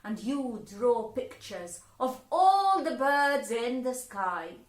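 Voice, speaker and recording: A woman voicing bossy fairy captors who give harsh orders in a loud, scolding manner. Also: fairly high pitch, very animated, slow, reverberant.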